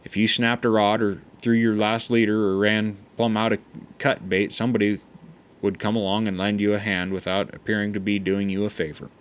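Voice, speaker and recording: A man reading a memoir aloud in an unhurried, folksy way, often stumbling and restarting words. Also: on the low side, level tone, clean tone. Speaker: audiobook reader.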